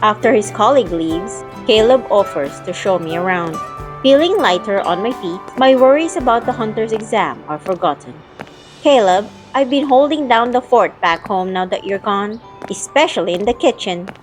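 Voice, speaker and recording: A woman's voice: mid-range voice, lively intonation, moderate pace, lightly acted, low-quality recording. A young woman playing a spirited, teasing childhood friend in an audio drama, telling the story in her own words and acting out playful banter. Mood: calm narrating. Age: young adult. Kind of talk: audio drama narration and dialogue. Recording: music playing behind the voice.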